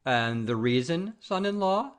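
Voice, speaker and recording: Pompous baron father-in-law, high swoopy voice, blustery, audio play comedy. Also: lively intonation, unhurried. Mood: haughty. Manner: pompous, oath-swearing, declamatory. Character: proud blustering baron, indignant middle-aged father-in-law.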